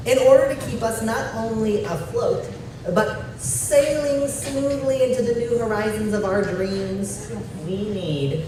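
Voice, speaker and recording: A woman's voice: medium pitch, natural voice. A woman doing a goofy cheerful puppet, echoing from far off. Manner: playful, jokey, eager. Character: goofy upbeat puppet sidekick, female. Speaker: puppet co-presenter at a fundraiser. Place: event hall at a brunch. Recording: echoey hall, far mic, ambient noise.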